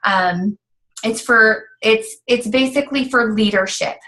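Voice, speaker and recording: A woman's voice: fairly high pitch, measured pace, bright, forceful tone. A woman talking casually and upbeat, explaining how a coaching business pays, with many fillers. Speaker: network marketing coach. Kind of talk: casual recruiting talk.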